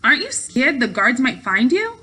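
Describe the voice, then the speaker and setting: Storybook young boy, performed by a woman: anxious, hesitant, sheltered, with lively swoops in pitch. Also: moderate pace, breathy edge, heavily acted. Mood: nervous, curious. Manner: hesitant, questioning. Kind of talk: storybook read-aloud dialogue.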